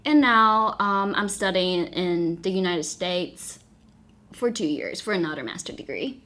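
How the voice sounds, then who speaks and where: A woman's voice: on the low side, expressive, a little slow, bright, forceful tone. A young woman with a Taiwanese accent, a native Mandarin speaker, chatting casually as a guest. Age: young adult. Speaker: guest, graduate student. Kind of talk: casual chat.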